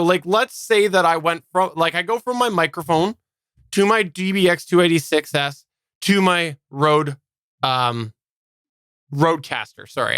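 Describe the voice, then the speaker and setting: A man with a bright, very clear voice hosts a casual technical chat. He explains things in a roundabout way, adding asides and qualifications as he goes. The sound is close and dry, as from a quiet home studio. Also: a little high, no echo. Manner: discursive, qualifies his points with asides. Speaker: podcast host. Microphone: close studio microphone through preamp.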